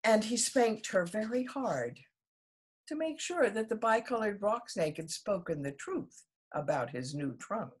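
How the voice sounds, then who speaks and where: A woman storyteller reading a children's story aloud expressively, in a husky voice. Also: medium pitch, lively intonation, unhurried.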